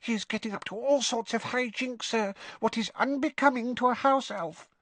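A man voicing a tiny, timid female house elf servant with a quivering squeak and deferential, broken grammar. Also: normal speed, a bit bright, no echo. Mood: scolding. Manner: broken grammar, deferential, constantly says sir.

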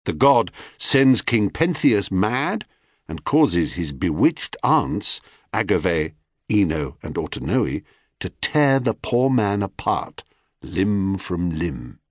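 A man reading a scripted myth narration in an expressive, theatrical way, with wry, dry asides. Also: deep voice, slow pace, no echo. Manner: wry, dryly witty asides. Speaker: audiobook narrator.

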